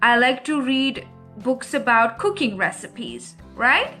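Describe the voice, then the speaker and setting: A woman teaching an English speaking-test lesson, speaking slowly and clearly and repeating each question for learners. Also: a little high, expressive, sharp tone, soft background music.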